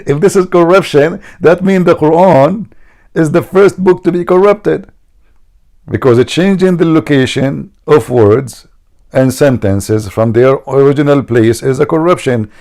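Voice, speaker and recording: Man, gravelly dark voice, mocking debate host, emphatic and repetitive. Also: a little high, measured pace. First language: Arabic. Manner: mocking, emphatic, repeats himself. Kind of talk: argumentative religious debate.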